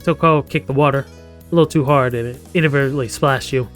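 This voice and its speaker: A man's voice: mid-range voice, steady tone, fast talker, fairly bright tone. A man streaming a game live, reading its story text aloud and breaking off into chatty, joking asides. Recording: music playing behind the voice.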